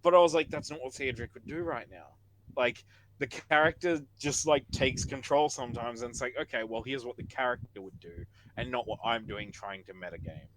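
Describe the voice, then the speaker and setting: A man's voice: medium pitch, brisk, fairly bright tone, a little hiss or hum, rough recording. A man who plays in a tabletop game, chatting animatedly about his character with laughs and lots of fillers. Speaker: player. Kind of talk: casual post-session chat.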